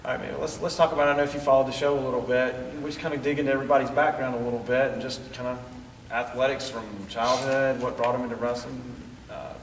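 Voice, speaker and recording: A man's voice: medium pitch, level tone, fast, slight background noise. A man hosting an interview show and asking questions in a friendly, easygoing way. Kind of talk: casual interview. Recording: distant echoey room recording.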